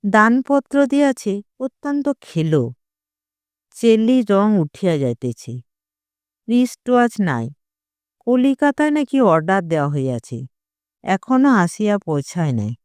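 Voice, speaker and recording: Clear, expressive, slow-paced story narrator. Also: medium pitch, no echo. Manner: measured, dramatic storytelling delivery. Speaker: audiobook narrator.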